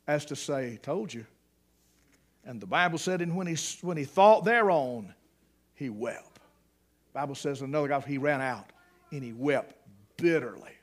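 A man preaching, his voice sitting high for a man. He tells the scripture like a folksy story, slipping in jokes and climbing to loud, emphatic peaks. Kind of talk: sermon.

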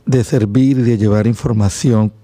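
Colombian male radio guest, warm dark tone, dry studio sound, hesitant and friendly. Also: fairly low pitch, steady tone, moderate pace, no echo. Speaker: guest on migration issues. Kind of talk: casual radio chat.

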